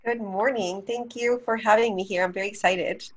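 Thirties woman from Colombia, mid-pitched, earnest and reflective interview guest. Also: fairly even intonation, moderate pace, slight background noise. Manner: reflective, earnest, with many ums. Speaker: podcast guest. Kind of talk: casual interview storytelling.

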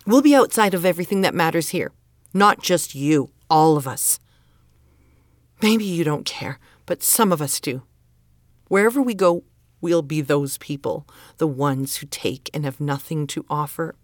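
A woman's voice: deep voice, lively intonation, a little slow, dry recording, clean studio sound. A woman playing a stern, exasperated middle-aged mother who holds to tradition, in a naturalistic audio drama, insistent and scolding. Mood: angry, scolding. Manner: insistent, guilt-laden pleading and scolding.

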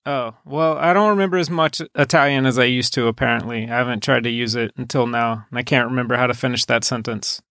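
A man co-hosting a casual podcast in an offhand, deadpan way. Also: medium pitch, fast talker, hardly any pauses, dry recording, studio quality. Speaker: podcast co-host. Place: recorded remotely over a voice chat app.

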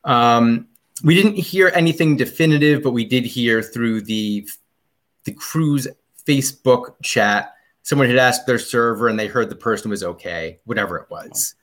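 A man's voice: medium pitch, moderate pace, a bit bright. A man as a podcast guest in casual chat, rambling about a trip with many ums and restarts.

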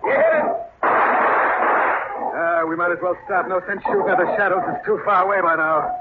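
An actor playing a blunt, folksy, stubborn small-town werewolf hunter in a vintage radio horror drama. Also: male voice, high-pitched, steady tone, fairly quick, natural voice. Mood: excited, resolute. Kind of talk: 1940s-50s radio horror acting. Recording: very noisy, tinny old radio recording.

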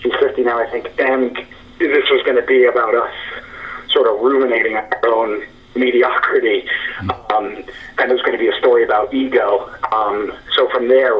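A man's voice: medium pitch, steady tone, normal speed, recorded from a distance, dull audio. A man in his forties, a screenwriter being interviewed, talking thoughtfully with many fillers and restarts. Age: 40s. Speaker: screenwriter guest. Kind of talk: interview conversation.

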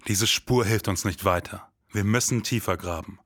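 A man playing a jaded, grumbling detective who narrates in a deadpan, disdainful noir style. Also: on the low side, normal speed, no echo, studio quality.

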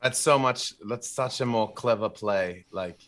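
A man's voice: lowish voice, measured pace, fairly bright tone. A man co-hosting a podcast, chatting informally and enthusiastically about gaming news. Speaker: podcast co-host. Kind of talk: casual chat, commentary on gaming news.

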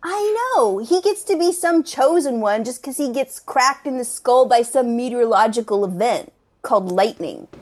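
A woman playing an unimpressed slacker student of magic, griping in halting, slangy speech in an improvised comedy sketch. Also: a little high, expressive, a little mellow. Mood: sardonic, griping.

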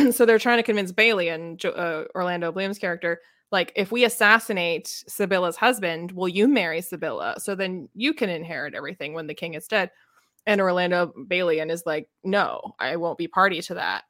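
A woman's voice: fairly low pitch, expressive, a little fast, fairly bright tone, no echo, studio quality. A woman co-hosting a book podcast in casual, slangy chat, acting out bits of dialogue as she retells stories. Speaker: podcast co-host discussing books.

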